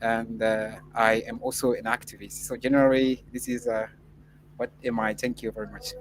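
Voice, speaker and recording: A man's voice: mid-range voice, level tone, normal speed, a little hiss or hum, low-quality recording. A man whose first language is Portuguese, answering a mock scholarship interview in a formal, earnest way with frequent filler sounds. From Mozambique. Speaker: interview candidate.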